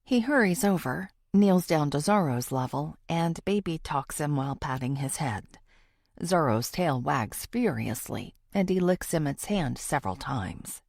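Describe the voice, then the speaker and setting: A woman's voice: low-pitched, vocal fry, darkish tone, dry recording, studio quality. A woman reading a first-person thriller audiobook as a scripted narration.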